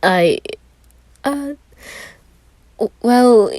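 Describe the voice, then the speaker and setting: A woman's voice: a little high, animated, extremely slow, darkish tone, no echo, pitched above the performer's own voice. A woman voicing a small, cute young man who stammers nervously in an audio story. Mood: nervous, flustered. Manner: stammering, hesitant.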